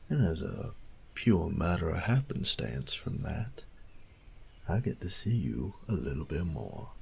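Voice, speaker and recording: Man playing a low, creaky boyfriend. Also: very animated, unhurried, full character voice. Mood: playful, excited. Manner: drawling, teasing, tender, coaxing, with breathy near-whispers. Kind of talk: intimate scripted audio roleplay addressed to the listener.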